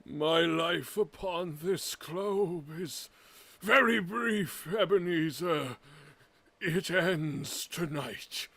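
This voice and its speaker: A woman's voice: on the low side, extremely slow, fairly bright tone, dry recording, exaggerated voice. A woman playing a solemn, commanding, ageing spirit of Christmas in a theatrical radio drama. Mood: solemn, foreboding. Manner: theatrical, declamatory. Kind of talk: vintage radio drama acting.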